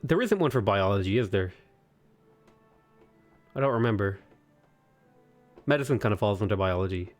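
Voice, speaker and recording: A man's voice: fairly low pitch, darkish tone. Playful man in his twenties streaming. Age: 20s. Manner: playful, joking, reads chat aloud. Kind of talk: casual livestream commentary, reading chat.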